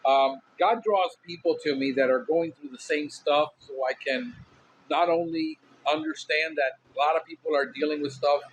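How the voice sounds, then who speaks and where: A man with a Cuban Miami accent talks loosely and candidly, loud and outspoken, puffing on a cigar between thoughts. His voice sits a little on the high side. A faint hiss of noise runs underneath. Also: moderate pace, low-quality recording. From Miami, USA. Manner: smoking a cigar while talking. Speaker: podcast host. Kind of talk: casual monologue.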